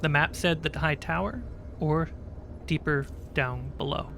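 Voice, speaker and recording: A man with a fairly high voice runs a tabletop game as game master. He talks slowly, in short halting phrases, with faint noise behind him. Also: gravelly, a bit bright. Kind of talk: improvised tabletop game narration. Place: indoor livestream.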